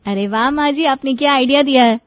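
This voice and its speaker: A woman's voice: high voice, brisk, no echo, lo-fi sound, lightly acted. Woman acting an eager, dutiful young daughter-in-law. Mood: delighted, proud.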